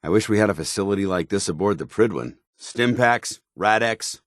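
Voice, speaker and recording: Man acting a deep-voiced, stern military paladin. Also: lively intonation, normal speed, no echo, clean studio sound, close to an everyday voice. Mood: matter-of-fact, wary. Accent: American. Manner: formal, clipped, earnest military delivery. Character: stern, zealous military paladin, loyal armored soldier companion. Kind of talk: video game companion dialogue.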